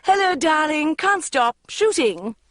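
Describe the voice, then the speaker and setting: A woman voicing a gushing, bossy middle-aged mother, who trills and rushes her words. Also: high voice, sing-song, a bit bright, dry recording, studio quality, voice pushed up, full character voice. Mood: trilling bossy.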